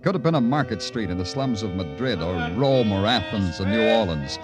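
An American actor playing a tough, brooding, terse big-city police detective in a 1950s radio crime drama. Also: male voice, fairly low pitch, animated, fairly quick, over music, lightly acted. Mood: brooding narration. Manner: terse, hard-boiled, slangy. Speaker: detective and narrator. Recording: noisy old radio recording.

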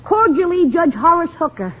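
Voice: very high-pitched, very animated, moderate pace. A performer playing a cheeky, wisecracking young American nephew in an old-time radio comedy. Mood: wry, nagging. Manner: cheeky, wry. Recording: tinny, noisy old radio recording.

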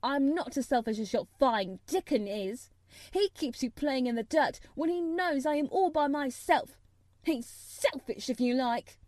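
A woman's voice: mid-range voice, measured pace, darkish tone, no echo, natural voice. A woman voicing a spoiled, self-pitying young invalid boy in a storybook, demanding and petulant. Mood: angry, sneering. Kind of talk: audiobook character voice.

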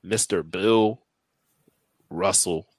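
A young man co-hosting a podcast in casual comedic chat, animated and teasing, laughing often. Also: low-pitched, very slow, no echo. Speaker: podcast co-host.

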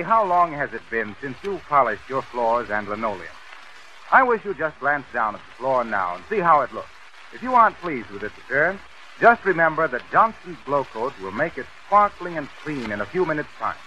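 A man with an American accent announcing a 1930s radio show in a polished, salesmanlike way, reading scripted pitches and scene-setting. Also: very animated, moderate pace, pushed and bright. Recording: muffled, noisy 1930s radio recording.

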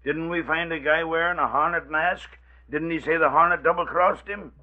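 An actor playing a gruff, scornful police sergeant in a 1940s radio drama. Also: male voice, a little high, steady tone, normal speed, lightly acted. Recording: tinny old radio broadcast.

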